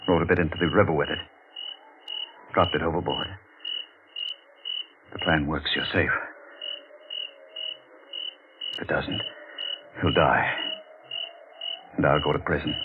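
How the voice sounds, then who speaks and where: A tinny, slightly noisy vintage radio recording carries a guilt-ridden young lover. His voice is low, grave and bitter, with terse lines in 1940s radio-drama style. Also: steady tone, moderate pace, long pauses. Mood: grave, resigned. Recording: tinny band-limited 1940s radio, faint noise.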